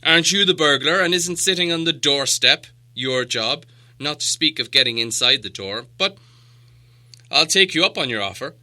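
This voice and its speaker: A man acts out a storybook dwarf leader with a forceful, sharp-edged voice. He is proud and gruff, speaking with imperious weight as one used to being obeyed. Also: on the high side, animated, moderate pace, pitched above the performer's own voice. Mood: demanding. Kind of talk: storybook character voice.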